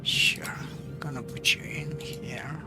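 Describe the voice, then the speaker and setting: A man's voice: mid-range voice, fairly even intonation, slow, in a whisper. A man giving casual commentary while playing a game, thinking aloud half to himself. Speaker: gamer. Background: loud game music.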